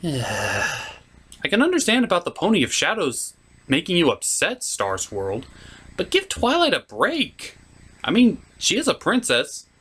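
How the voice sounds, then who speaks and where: A man's voice: a little high, wildly swooping pitch, unhurried, a bit bright, husky. A man hosting a comedic cartoon review, giving commentary in a sarcastic, exasperated manner.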